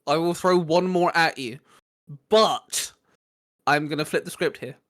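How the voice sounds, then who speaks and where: A man's voice: on the high side, a little slow, fairly bright tone, no room sound, studio quality. A man hosting a casual chat with friends, laughing often, swearing and restarting his sentences. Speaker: podcast host running the quiz.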